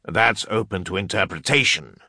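A man voicing a grave, careful scholar who explains in a precise, halting way, in an audiobook. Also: low-pitched, sharp tone, slightly rough, no room sound, clean studio sound, exaggerated voice. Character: grave, careful male scholar translating cryptic chants.

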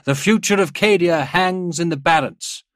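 A proud older general, acted by a male narrator, projects as if across a parade ground. His voice is raised well up in pitch and delivered as rousing, declamatory oratory in the manner of a war audiobook. The sound is close and dry. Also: normal speed, fairly bright tone, dry recording, lightly acted. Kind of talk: dramatised audiobook character voice.

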